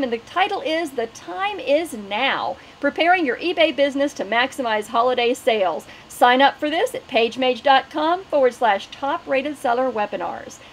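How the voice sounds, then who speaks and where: High-voiced woman hosting a webinar. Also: a little hiss or hum, low-quality recording. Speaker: webinar host. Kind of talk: online webinar hosting, announcements and housekeeping.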